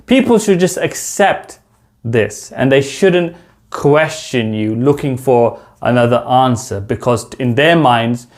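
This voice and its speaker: A man in his thirties from the United Kingdom, with a London accent, teaching a beginner English lesson to camera from a small room at home and spelling out slow, deliberate example sentences. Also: mid-range voice, animated.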